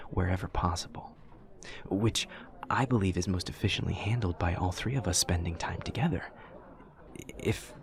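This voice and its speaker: A man playing an awkward, earnest young demon student in an audio roleplay, speaking in a formal, literal, over-explaining way. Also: low-pitched. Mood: hopeful, tentative. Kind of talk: audio roleplay acting, addressing the listener.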